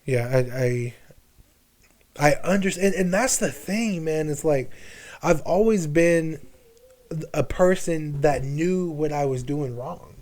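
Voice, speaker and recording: A man's voice: fairly high pitch, slow, a little mellow. Slangy young man in candid conversation. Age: 20s. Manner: slangy, sprinkled with swearing. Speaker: podcast guest.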